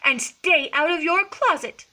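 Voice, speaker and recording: A woman's voice: extremely high pitch, animated, a little slow, bright, forceful tone, voice pushed up, full character voice. A woman voicing an exasperated, scolding mother cat in a storybook, lecturing sternly and emphatically.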